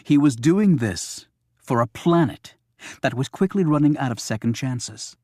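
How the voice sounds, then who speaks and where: A man's voice: mid-range voice, lively intonation, a little slow, a little mellow, no room sound, clean studio sound. A man reading a thriller audiobook as scripted narration.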